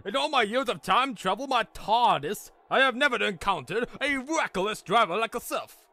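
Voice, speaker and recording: A man's voice: on the high side, very animated, moderate pace, gravelly, sharp tone, pitched above the performer's own voice, heavily acted. A man voicing an indignant time-traveling stranger with an English accent and formal, affronted phrasing. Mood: outraged.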